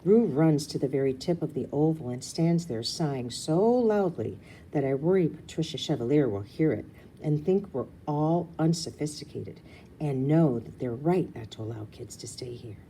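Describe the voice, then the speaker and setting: Woman, children's book reader, low voice, expressive with casual asides, slight background noise. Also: moderate pace, low-quality recording. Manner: breaks off for casual asides and explanations. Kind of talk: expressive children's story reading.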